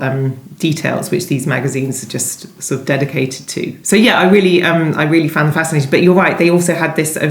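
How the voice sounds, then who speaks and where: Thoughtful, halting woman in an echoey room. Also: low-pitched. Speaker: interviewed novelist. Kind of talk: conversational literary interview.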